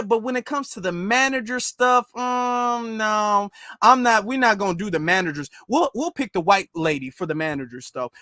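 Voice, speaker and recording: A man's voice: high voice, fairly bright tone, no room sound, clean studio sound. A man from the United States giving informal, emphatic political commentary on a live stream, repeating phrases for stress.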